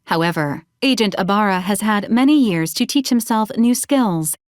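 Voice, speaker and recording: A woman voicing a polite, literal-minded medical robot in a video game, speaking in formal, clinical, deadpan announcements. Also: mid-range voice, moderate pace, no echo, clean studio sound, natural voice. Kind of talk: scripted video game character lines.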